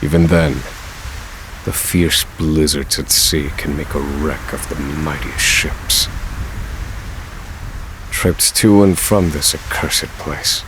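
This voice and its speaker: A grim, vengeful scholar from a video game, with an extremely deep voice. He speaks slowly and solemnly, weighing each phrase. Clear ambient noise sits behind him. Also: fairly even intonation. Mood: brooding. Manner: slow, deliberate, solemn. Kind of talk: dramatic game narration and dialogue.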